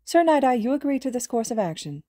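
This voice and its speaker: A woman's voice: a little high, no echo, clean studio sound, voice pushed up. A woman voicing a small, composed animal school principal who speaks evenly in a read-aloud story. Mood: measured. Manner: even, measured.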